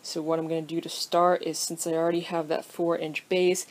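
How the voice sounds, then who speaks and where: A woman talking through a cabinet build in her wood workshop in a relaxed, casual how-to style, thinking aloud with frequent ums. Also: low voice, brisk. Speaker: woodworker explaining a build. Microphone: camera pointing down at the workbench, speaker off-screen.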